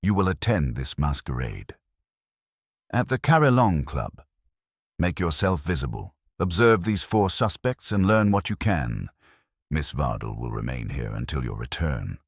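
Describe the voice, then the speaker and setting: A man voicing a commanding, unhurried genius detective who gives formal, measured orders in an audiobook. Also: a bass voice, animated, no echo, studio quality, heavily acted. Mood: commanding, calm.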